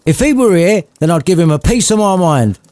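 A working-class Victorian mother, played by a man, fusses and scolds with blunt affection. The storybook voice swings in pitch and is recorded close and dry. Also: expressive, fairly quick, darkish tone, dry recording. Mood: scornful.

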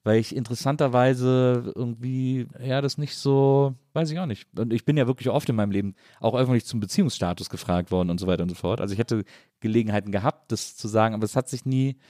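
A man's voice: mid-range voice, fast talker, dark tone, no room sound, studio quality. A man hosting a casual podcast interview, talking in a reflective, somewhat tentative way. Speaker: podcast host.

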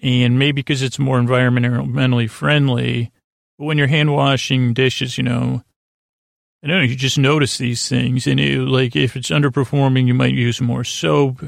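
An American man hosting a sleep podcast, rambling in a halting, meandering monologue with stammers and frequent self-corrections. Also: medium pitch, flat delivery, vocal fry, no echo, studio quality. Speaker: podcast host.

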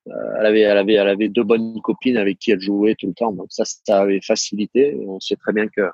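A middle-aged man with a southern French accent talks in a halting, repetitive way, full of fillers. His voice is a little husky. The recording is dry and slightly dull. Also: fairly low pitch, flat delivery, darkish tone, no echo. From southern France. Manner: halting, many fillers and repeated words. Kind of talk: casual interview talk. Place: living in the United States.

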